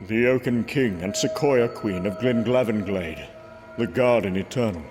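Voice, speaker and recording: An actor playing an earnest, grave warrior prince and god of thunder, speaking formally and solemnly in an audio comic drama. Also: male voice, fairly low pitch, moderate pace, close to an everyday voice. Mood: grim, solemn. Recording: music bed under the voice. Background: music.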